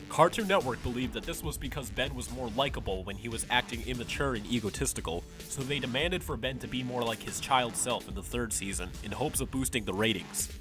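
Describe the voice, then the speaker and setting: A man's voice: medium pitch, fairly bright tone. A young man delivering scripted narration as a video essayist. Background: faint music.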